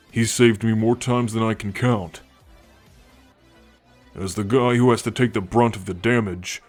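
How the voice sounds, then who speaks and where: A man voicing a friendly, teasing alien soldier in an intimate ASMR roleplay, talking in a gentle, playful, confiding way. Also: fairly low pitch, natural voice. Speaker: voice actor in an audio roleplay. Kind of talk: ASMR roleplay, intimate one-sided conversation.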